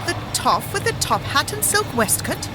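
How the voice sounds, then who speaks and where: Period radio drama: impatient female mill hand, shrill register, animated scolding, prominent music behind. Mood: brusque, incredulous. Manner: brisk, scolding, old-fashioned working-class phrasing. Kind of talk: audio drama acting.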